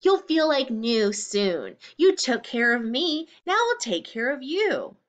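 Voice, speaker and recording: Storybook bear, played by a woman, friendly and caring, lively melodic pitch, unhurried, read-aloud for children. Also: dull audio, full character voice. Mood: gentle, reassuring.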